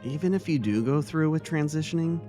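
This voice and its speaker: A man acting a kindly, caring male vice-principal and mentor in a dramatic story reading, speaking gently and earnestly. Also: fairly high pitch, fairly quick, natural voice. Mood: reassuring, caring. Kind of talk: dramatic reading of fan fiction. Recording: music under the voice.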